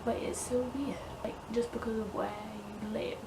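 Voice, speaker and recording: A woman's voice: fairly high pitch, fairly even intonation, normal speed, loud music behind the voice. A young woman from the English Midlands with a mixed northern and southern English accent, rambling casually to camera with frequent laughs and "like"s. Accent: English Midlands-northern with southern vowels. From England, north West Midlands. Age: young adult. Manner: chatty, laughs often, frequent "like". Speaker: vlogger. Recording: distant mic, clear room ambience.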